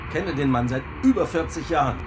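Actor as gruff submarine commander, swooping pitch. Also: male voice, expressive, fairly quick, background noise, lightly acted. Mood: angry, determined. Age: middle-aged. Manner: gruff, blunt, swearing. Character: gruff, loyal middle-aged submarine commander, hot-tempered. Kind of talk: radio drama acting.

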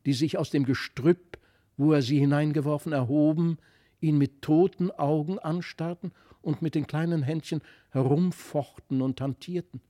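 A man narrating a literary fairy tale in a dramatic, expressive manner. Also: on the high side, normal speed. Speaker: storyteller.

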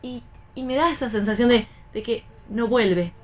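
Higher-voiced Argentine woman, casually reflective. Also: normal speed, darkish tone. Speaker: radio panelist.

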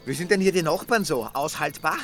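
An actor playing a clingy, earnest slacker sidekick in a radio drama. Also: male voice, on the high side, fairly quick, faint music behind, natural voice. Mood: curious.

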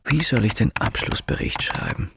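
An actor playing a weary, accusing police inspector in a radio drama, speaking insistently and deliberately. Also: male voice, fairly low pitch, wildly swooping pitch, creaky, background noise, full character voice. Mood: bitter, grim. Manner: insistent, deliberate, bitterly rhetorical. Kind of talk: German radio drama acting.

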